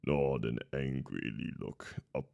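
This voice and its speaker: A man voicing a dim, good-natured Goliath barbarian in a tabletop game, earnest and slow-witted in simple phrases. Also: rumbling low, fairly even intonation, gravelly, dark tone, full character voice. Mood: earnest concentration.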